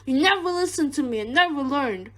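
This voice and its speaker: Woman playing a high-voiced, domineering father. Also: animated, brisk. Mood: scornful. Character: harsh, domineering father, abusive to his daughter. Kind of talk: radio drama acting.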